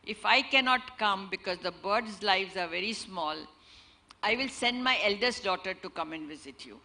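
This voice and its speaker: Soft polite little blackbird, as a woman tells it. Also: medium pitch, lively intonation, moderate pace. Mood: gentle, solemn. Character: small gentle female blackbird, polite and wise.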